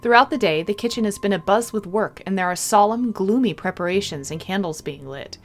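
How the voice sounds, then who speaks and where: A woman reading a visual novel aloud in an expressive storybook style, with casual playful commentary. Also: medium pitch, fairly quick. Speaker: video game streamer. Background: game music.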